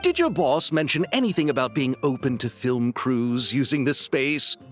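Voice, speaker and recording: An actor voicing a friendly, polite film director who speaks a little hesitantly, in video game dialogue. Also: male voice, medium pitch, expressive, moderate pace, close to an everyday voice. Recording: game audio over faint music.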